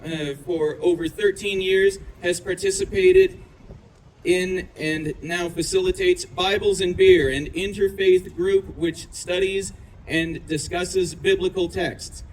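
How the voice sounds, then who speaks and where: High-voiced man introducing a speaker outdoors. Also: expressive, slow pace, rough recording. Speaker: master of ceremonies. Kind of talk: reading a speaker introduction aloud. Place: outdoors at a downtown festival. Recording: outdoor PA with faint traffic noise.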